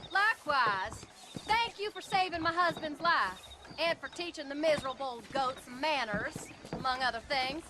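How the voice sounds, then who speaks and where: An actress playing a proud, barbed frontier wife in a video game, sardonically polite, with a rural American Western accent. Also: female voice, high-pitched, lively intonation, normal speed. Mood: pointedly polite. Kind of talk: video game cutscene acting.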